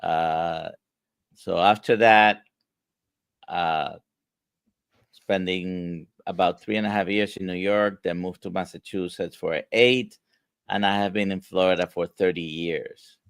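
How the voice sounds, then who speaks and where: A man, a physician answering interview questions in a measured way with many hesitations. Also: deep voice, steady tone, smooth, no room sound. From Puerto Rico. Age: 60s. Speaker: physician guest.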